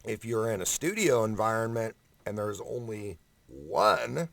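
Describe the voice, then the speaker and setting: A man with a fairly low voice and a strong gravelly creak delivers a casual vlog rant. He is blunt and emphatic, swearing freely as he makes his points. He speaks very close to a condenser microphone. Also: lively intonation, a little slow, a bit bright, no room sound. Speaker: amateur singer giving audition advice. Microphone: condenser microphone up close, no preamp.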